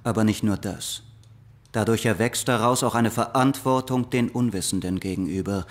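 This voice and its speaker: A man's voice: lowish voice, normal speed, lightly acted. An actor playing a grim man in a secret organization, speaking in a solemn, weighty tone. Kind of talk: dramatic prologue monologue.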